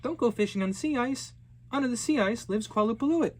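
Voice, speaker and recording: A man's voice: very high voice, expressive, fairly quick, a little mellow, voice pushed up. A man voicing a firm mother warning her child.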